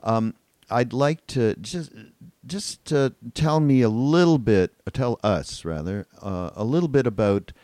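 An older man hosting a casual podcast interview, speaking in a halting, rambling way. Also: mid-range voice, expressive, dark tone, no echo, studio quality. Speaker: podcast co-host.

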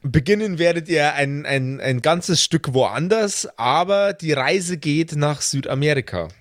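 A man with a slightly high voice is recorded very dry, with no room sound. He explains things as a game master in a casual, slangy and joking style. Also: a little slow, dry recording. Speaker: game master of a role-play podcast.

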